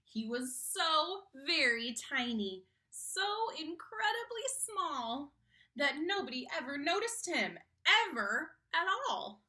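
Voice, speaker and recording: A woman with a high, bright voice reads a picture book aloud to children. She takes her time and is theatrical and engaging, with pitch that moves in big lively swings. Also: slow pace. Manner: theatrical, engaging, asks listeners questions. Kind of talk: expressive children's read-aloud.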